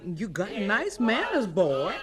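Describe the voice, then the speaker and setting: A woman's voice: medium pitch, huge pitch swings, slow. A woman playing a devout, shrewd, kindly older churchgoer in a radio drama, folksy and pious. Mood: friendly.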